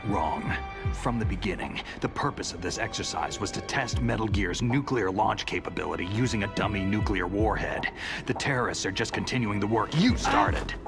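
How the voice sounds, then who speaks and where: Casting: terse American soldier hero in a game drama, very low, gruff and stern, over a clear music bed. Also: male voice, animated, normal speed. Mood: accusing. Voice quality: gruff, gravelly. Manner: terse, interrogating. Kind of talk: dramatic video game cutscene acting.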